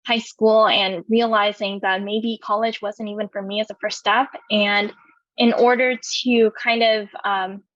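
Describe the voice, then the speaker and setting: A woman's voice: mid-range voice, flat delivery, measured pace, a bit bright, no room sound. A woman in her twenties from California, telling her life story in an oral history interview, talking hesitantly with many fillers and restarts. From California, USA. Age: 20s. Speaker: veteran interviewee. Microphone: video call. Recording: muffled video call audio.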